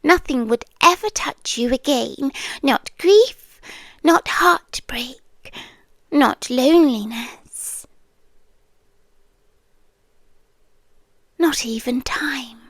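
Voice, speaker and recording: A British woman playing a possessive, adoring vampire girlfriend in an ASMR roleplay, speaking softly and breathily and often slipping into a whisper. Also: high-pitched, lively intonation, slow, dry recording, studio quality, exaggerated voice. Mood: coaxing, possessive. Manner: soft, breathy, often dropping into whispers, coaxing. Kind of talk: ASMR roleplay, slow intimate delivery with whispering.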